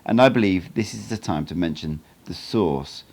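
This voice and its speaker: A man voicing an old sorcerer teacher in an audiobook, wise and teasing, with a measured, cryptic and blunt way of talking. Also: low-pitched, vocal fry, darkish tone. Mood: solemn, instructive.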